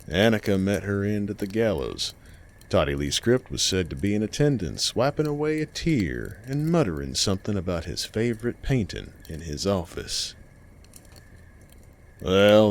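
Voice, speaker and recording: Deep, slow male horror storyteller. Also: a little mellow. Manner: folksy, dramatic pauses. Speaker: storyteller and host of a horror fiction show. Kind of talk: scripted horror narration, fireside campfire tale.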